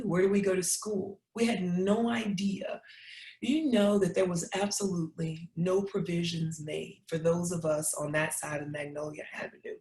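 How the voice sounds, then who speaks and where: A woman's voice: on the low side, a little mellow. Preacherly woman in an echoey room. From Knoxville, Tennessee. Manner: preacherly, repetitive emphatic storytelling. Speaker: guest speaker. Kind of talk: storytelling talk. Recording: roomy echo.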